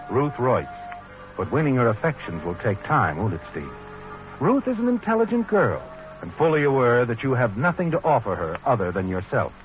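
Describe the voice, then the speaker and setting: Swooping, insinuating radio suspense narrator. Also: male voice, on the high side. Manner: dramatic, taunting. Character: insinuating, knowing male narrator, ominous inner-voice storyteller. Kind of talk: 1940s radio suspense narration in second person. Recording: dull old radio recording with music.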